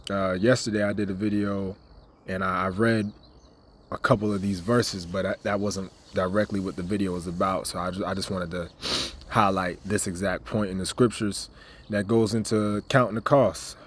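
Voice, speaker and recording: Slightly raspy man preaching casually. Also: low voice, little pitch movement, normal speed. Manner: rambling, repeats words, many fillers; grows louder and more emphatic as he goes. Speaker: ministry member giving a teaching video. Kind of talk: informal sermon with scripture reading.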